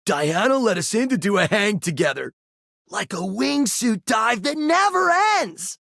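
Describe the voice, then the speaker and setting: A woman voicing a boisterous, thrill-seeking daredevil in a video game, with bombastic, rough-edged hype. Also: mid-range voice, big pitch swings, no echo, clean studio sound, exaggerated voice. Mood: rowdy hype. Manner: bombastic, shouted, drawn-out hype.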